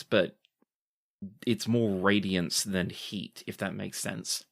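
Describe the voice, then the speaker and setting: A man narrating as game master of a tabletop game, relaxed and chatty, correcting himself as he goes. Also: lowish voice.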